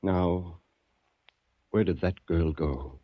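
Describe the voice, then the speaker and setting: A menacing male gunman barks curt demands in old-time radio style. The voice is on the low side and very dry, on a dull vintage recording. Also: very slow, no room sound, full character voice. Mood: threatening. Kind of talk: 1940s radio acting.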